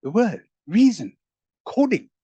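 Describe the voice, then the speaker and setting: A man's voice: high-pitched, big pitch swings, slow, warm tone, breathy edge, no room sound. A man speaking as a roundtable panelist, musing through rhetorical questions with emphatic rises in his voice. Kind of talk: animated reflective public talk.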